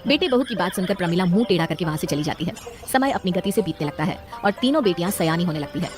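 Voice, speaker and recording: A woman's voice: lowish voice, animated, quick pace, over music. A woman narrating a scripted cartoon family story as its storyteller.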